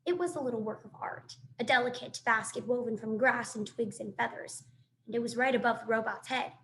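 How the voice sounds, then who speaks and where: A woman reading a children's book aloud in an expressive, animated storytelling manner. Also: fairly high pitch, fairly quick, slight background noise, poor audio. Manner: animated, dramatic sound effects and asides.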